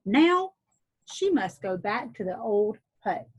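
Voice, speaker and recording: A woman's voice: medium pitch, very animated, slow, husky, exaggerated voice, low-quality recording. Woman voicing a stern magic fish. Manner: stern, final.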